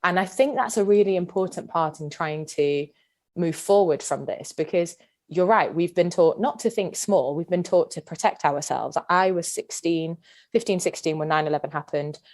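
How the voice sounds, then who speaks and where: Podcast guest: woman in her thirties from Yorkshire, low voice, earnest and deliberate. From Yorkshire, England. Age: 30s. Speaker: guest, PE teacher and former athlete. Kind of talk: reflective podcast interview.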